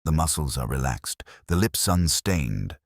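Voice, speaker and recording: A man performs a brilliant detective in an audiobook mystery, with a very deep voice and animated intonation. He is formal, commanding and incisive, setting out each deduction with deliberate precision. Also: no echo, clean studio sound, heavily acted. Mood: measured, authoritative.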